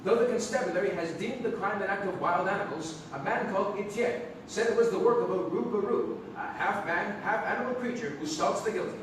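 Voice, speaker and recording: A man's voice: high voice, a little fast, hardly any pauses, lightly acted. A man playing a formal nineteenth-century chronicler, reading old documents aloud theatrically. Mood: grave. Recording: echoey noisy theatre, distant mic.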